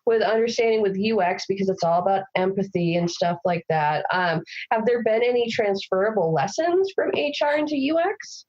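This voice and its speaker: A woman hosting a podcast interview, chatting casually and gushing with excitement. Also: mid-range voice, moderate pace, hardly any pauses, highs cut off. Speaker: podcast host.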